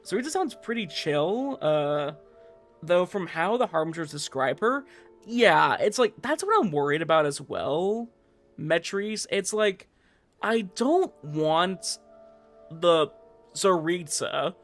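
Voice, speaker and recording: Guy streamer w a slightly high voice, rambly gamer slang, music going behind him. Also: animated, slow pace, fairly bright tone. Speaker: livestream host. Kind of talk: casual chat while streaming a game.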